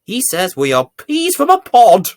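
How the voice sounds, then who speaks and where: A man reading a bedtime story to young children as its presenter, with deliberate, theatrical emphasis. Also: high-pitched, huge pitch swings, dry recording, studio quality. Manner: deliberate, theatrical emphasis with dramatic swells and hushes.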